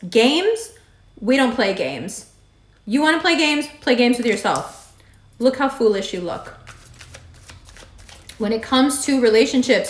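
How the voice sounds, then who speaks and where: A woman with a raspy voice giving a monthly horoscope reading, direct and emphatic, repeating her advice. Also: on the high side. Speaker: astrology reader. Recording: echoey room.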